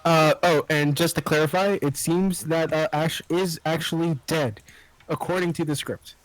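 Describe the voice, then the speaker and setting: Slightly high man, stammering clarifications. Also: steady tone, moderate pace, no room sound, poor audio. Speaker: table read participant.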